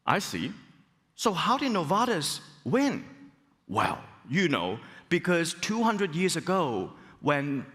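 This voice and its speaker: Middle-aged male professor from Hong Kong, keynote speaker; fairly high, swooping pitch; long hall echo. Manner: animated, rhetorical questions and exclamations. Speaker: business school professor. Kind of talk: conference keynote talk. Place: large conference hall.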